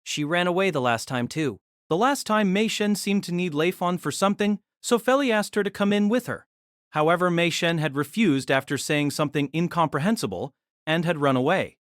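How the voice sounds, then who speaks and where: Animated female story narrator, dry sound. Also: lowish voice, fairly quick, no room sound. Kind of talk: scripted dramatized story narration.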